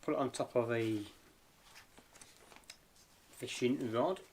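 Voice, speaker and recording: A man's voice: medium pitch, slow, darkish tone. Hesitant man, informal, with noise behind. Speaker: amateur radio vlogger reviewing gear. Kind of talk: casual hands-on product review. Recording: clear background noise and clicks.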